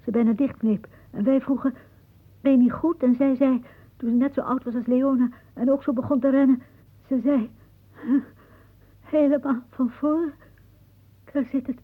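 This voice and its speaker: An actress playing a frail, anxious, possessive old aunt in a radio play, with a plaintive, wistful delivery. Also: female voice, high voice, fairly even intonation, normal speed, long pauses. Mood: wistful, remembering. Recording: muffled, narrow-band old radio recording.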